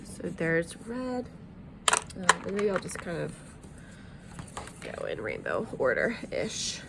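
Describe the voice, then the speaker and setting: A woman's voice: lowish voice, huge pitch swings, slow, creaky, heavy background noise. A woman art teacher talking through a drawing tutorial in a friendly, chatty way, a bit sniffly from allergies, with plenty of ums.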